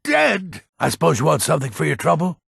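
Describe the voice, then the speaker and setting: An actor voicing a grumpy, haughty old mage and noble in a fantasy video game, gruff and impatient, with a raspy, gravelly voice. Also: male voice, fairly high pitch, huge pitch swings, fairly quick, fairly bright tone, dry recording, clean studio sound, heavily acted. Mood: exasperated, curt. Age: older. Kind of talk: video game character dialogue.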